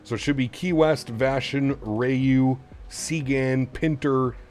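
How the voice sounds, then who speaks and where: A man's voice: medium pitch, fairly even intonation, slow pace, darkish tone, soft background music. A man streaming a flight simulator, thinking aloud in a casual, chatty way and asking his viewers for their opinions. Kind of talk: casual live-stream commentary while programming a flight computer.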